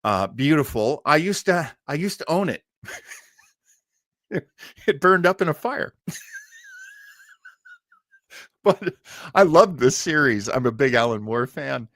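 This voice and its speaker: A man's voice: on the high side, animated, unhurried, long pauses, fairly bright tone, no echo, clean studio sound. A man hosting a live stream in a casual, enthusiastic way, rambling, hesitant and easily sidetracked.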